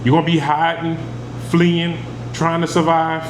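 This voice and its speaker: Guy preaching thru bible verses, kinda high voice, echoey room w/ ac hum. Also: completely flat delivery, measured pace. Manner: reads verses then explains them in plain talk. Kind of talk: scripture teaching.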